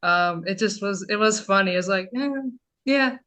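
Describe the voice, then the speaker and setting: A woman's voice: mid-range voice, moderate pace, pushed and bright. A woman voice actor as an interview guest, telling things informally and a little self-deprecatingly. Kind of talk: casual interview chat.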